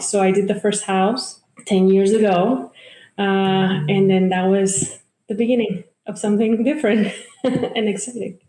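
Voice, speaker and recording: A woman's voice: medium pitch, dark tone. Reflective woman in echoey room. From Mallorca, Spain. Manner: reflective, laughs while telling her story. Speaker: guest, home builder. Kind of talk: podcast interview.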